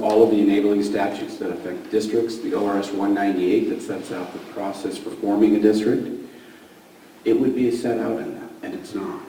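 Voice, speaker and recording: A man who is losing his voice, explaining law at a public meeting with halting fillers. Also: lowish voice, warm tone, a little hiss or hum. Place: meeting room. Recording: echoey room from far off.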